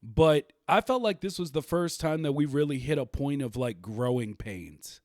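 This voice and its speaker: A man's voice: mid-range voice, expressive, moderate pace, darkish tone, no echo, studio quality. A man hosting a livestreamed podcast, talking casually and rambling, laughing easily. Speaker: podcast host.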